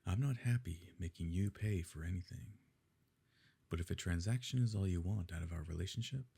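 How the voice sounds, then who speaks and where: A possessive, smooth-mannered evil nobleman, played by a man in an ASMR romance drama. His voice is very deep and dark, with a gravelly grain. He speaks formally and with measured control, pressing his beloved with quiet coercion. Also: full character voice. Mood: smoothly coercive. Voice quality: low, husky, gravelly. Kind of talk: ASMR romance roleplay, scripted dramatic monologue.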